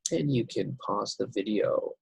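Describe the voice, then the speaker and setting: A man teaching an English writing lesson over slides, unhurried and step by step, with many fillers. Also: fairly low pitch, expressive, vocal fry, a little mellow, slightly rough, no echo, rough recording.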